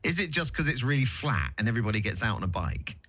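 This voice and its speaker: A male radio presenter with a lively, bright voice, swinging up and down in pitch. He asks questions in a relaxed, conversational way, with plenty of ums. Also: fast. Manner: relaxed, conversational, many fillers. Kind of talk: radio interview.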